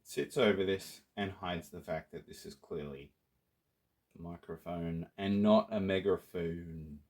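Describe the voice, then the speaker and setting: Low-voiced Australian man in a workshop. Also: a little slow, darkish tone. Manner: playful, chatty, talks to viewers while working. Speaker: prop maker. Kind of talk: casual workshop tutorial.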